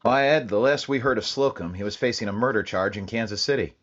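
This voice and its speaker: An actor playing a terse police sergeant narrating a radio crime drama in a flat, clipped monotone. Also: male voice, fairly low pitch, fast talker, no echo, dull audio, natural voice. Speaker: detective sergeant. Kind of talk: 1940s radio drama acting.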